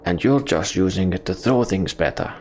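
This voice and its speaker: Man reading as a measured, curious fantasy traveler. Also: low-pitched, lively intonation, darkish tone, slight background noise. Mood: calm, curious. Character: calm, curious fellow traveler in fantasy game.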